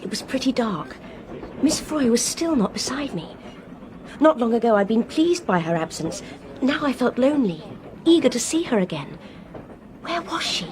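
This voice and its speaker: A rather high young English woman's voice, well-bred and polite. She speaks with reserve in radio drama style, with faint train noise behind her. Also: darkish tone. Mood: uneasy. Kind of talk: radio drama dialogue and first-person narration.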